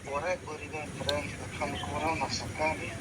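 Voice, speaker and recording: A man's voice: on the high side, level tone, moderate pace. A man talking casually over the phone. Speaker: prank call recipient, social media creator. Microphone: phone call played on speaker. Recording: noisy phone call, outdoor traffic sounds.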